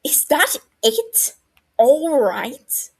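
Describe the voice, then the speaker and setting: Brief: a woman reading an edgy young man in a storybook reading, quick to snap, defensive, voice pushed a little high. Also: sing-song, heavily acted. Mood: exasperated. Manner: raises voice in frustration, stammers when upset. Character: anxious, prickly young man, defensive and exasperated.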